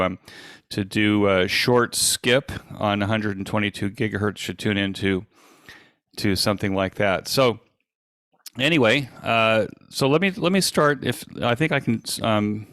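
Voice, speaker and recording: A man in his sixties with an American accent gives an informal online presentation in a halting, conversational, self-deprecating way. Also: lowish voice, fairly bright tone, no room sound, clean studio sound. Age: 60s. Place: indoors at a desk, on a video call.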